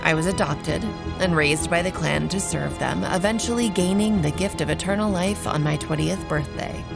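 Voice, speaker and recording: A woman playing a sardonic, stubborn young vampire researcher in an audio drama, with a creaky voice and dry sarcasm. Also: on the low side, normal speed, loud music behind the voice. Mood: wry, bitter. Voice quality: gravelly, creaky. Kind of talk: audio drama acting and first-person narration.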